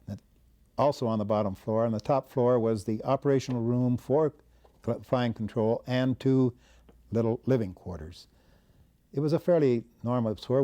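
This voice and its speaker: An elderly American man, a war veteran, recounting his memories in a plainspoken, measured storytelling way. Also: mid-range voice, warm tone. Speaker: war veteran, former air traffic controller. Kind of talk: recounting wartime memories and describing photographs.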